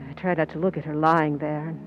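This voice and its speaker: A woman as tense murderous stand-in, radio suspense narrator, hushed deliberate storytelling, music behind. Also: low-pitched, level tone, dull audio, close to an everyday voice. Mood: grim, hushed. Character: scheming stand-in actress, a woman confessing to murder. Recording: music under the voice.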